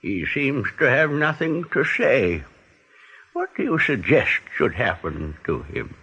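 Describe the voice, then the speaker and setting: An old, solemn rabbit of the council in a radio play. His voice is husky and full of fry. The sound is dull and muffled. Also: medium pitch, wildly swooping pitch, slow, bright, forceful tone, full character voice. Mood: grave.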